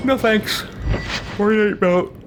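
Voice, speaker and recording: A man's voice: high-pitched, slow, heavy background noise. A man streaming a video game with casual commentary, reacting aloud and chatting to himself and his viewers. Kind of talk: casual let's play commentary. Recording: loud game music behind voice. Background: video game music and sound.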